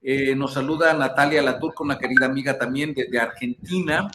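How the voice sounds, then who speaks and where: A man hosting a live interview, greeting viewers and asking questions with halting restarts. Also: medium pitch, fairly even intonation. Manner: warm greetings, halting restarts, repeated words. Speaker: host. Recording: roomy sound with clear background noise.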